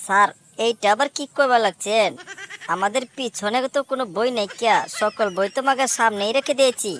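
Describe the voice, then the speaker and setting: A cocky cartoon schoolchild, voiced by a woman, with a high voice. The kid talks back and argues in comic dialogue, over clearly audible background noise. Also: brisk, a bit bright, close to an everyday voice. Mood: sheepish. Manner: cocky, argumentative. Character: cheeky schoolchildren answering back to their teacher.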